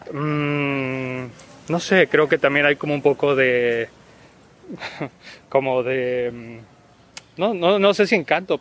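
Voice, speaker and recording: A man in his thirties with a Guatemalan accent, answering a street interview slowly and hesitantly. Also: on the high side, fairly bright tone. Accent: Guatemalan Spanish. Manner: slow and hesitant, searching for words. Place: city sidewalk outdoors. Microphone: handheld interviewer mic.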